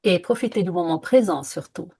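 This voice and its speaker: Woman, podcast co-host; gravelly, slightly husky, reflective, hesitant delivery. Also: on the low side, expressive, fairly quick, mellow. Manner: reflective, earnest, many hesitations. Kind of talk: casual conversational podcast. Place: lived in France.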